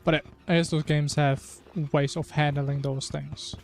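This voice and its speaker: Rambling man streamer, slightly high voice. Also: level tone, moderate pace. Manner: rambling, musing. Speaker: video game streamer. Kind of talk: casual chat while gaming.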